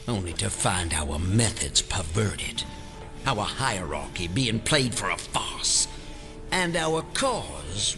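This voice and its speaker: A man playing a solemn, menacing older conspiracy leader in an audio drama, speaking in a formal, deliberate and ominous way. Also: mid-range voice.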